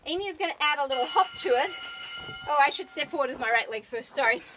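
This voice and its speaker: A woman fitness trainer leading a live outdoor workout, loud and upbeat as she counts down and cheers people on. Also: high voice, lively intonation, quick pace, music in the background, poor audio. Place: outdoors in the sun. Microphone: phone.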